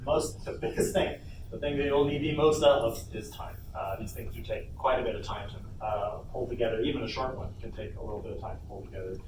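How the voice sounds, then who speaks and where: A man hosting an informal convention workshop, speaking casually with hesitant pauses as he explains things to the room. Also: medium pitch. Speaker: panel host and presenter. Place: indoor convention panel room. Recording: roomy, distant mic, noisy convention room.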